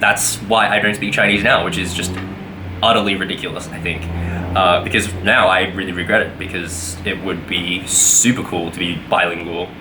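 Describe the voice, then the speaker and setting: A man's voice: deep voice, fairly even intonation, moderate pace, noisy background. A young man giving a casual weekly vlog update, rambling with lots of fillers and self-corrections. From Australia. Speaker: vlogger.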